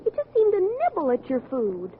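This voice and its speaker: High-pitched, earnest teenage schoolgirl. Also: huge pitch swings, brisk. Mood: earnest, gushing. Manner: gushing, earnest, tactlessly frank. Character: earnest, chatty, meddling teenage schoolgirl, principal's daughter. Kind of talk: 1940s-50s radio sitcom acting. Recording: narrow vintage radio recording, audience, hiss.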